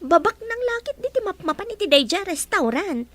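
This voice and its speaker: A woman acts a playful young hotel trainee in an Ilocano audio drama. Her voice sits extremely high and bounces around in pitch. She is giggly, teasing and outspoken. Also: big pitch swings, a little fast, a bit bright, full character voice. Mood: chatty.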